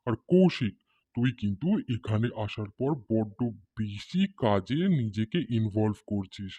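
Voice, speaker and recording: Actor as a mellow, animated young man. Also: on the low side, unhurried, husky, no echo. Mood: concerned. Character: young male doctor friend, anxious and pleading. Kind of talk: acted Bengali cartoon horror story dialogue.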